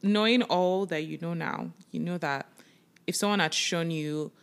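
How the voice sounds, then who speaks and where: A woman in her twenties, co-hosting a podcast in casual chat and backing up her co-host with quick agreement. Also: fairly low pitch, smooth. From Nigeria. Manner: agreeing, supportive, animated when quoting others.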